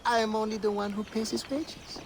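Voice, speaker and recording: A man's voice: sits high, fairly even intonation, brisk, background noise. An actor playing a flamboyant, flirtatious man who teases with a sing-song, whispery manner in a game cutscene. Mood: teasing. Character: flamboyant flirtatious male employer, teasing.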